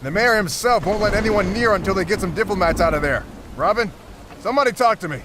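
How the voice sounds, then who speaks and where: A man's voice: sits high, lively intonation, quick pace. A man playing an anxious, insistent police commissioner in a motion comic. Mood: alarmed urgency. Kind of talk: animated motion comic acting. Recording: clear rain and thunder noise behind.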